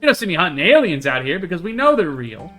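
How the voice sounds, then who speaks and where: A bright-voiced, talkative young woman monster hunter from a visual novel, read out by a man. She is earnest and eager, with a folksy turn of phrase, and music plays behind her. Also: a little high, big pitch swings, fast, pitched above the performer's own voice. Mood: excited. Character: chatty, earnest young woman who hunts cryptids.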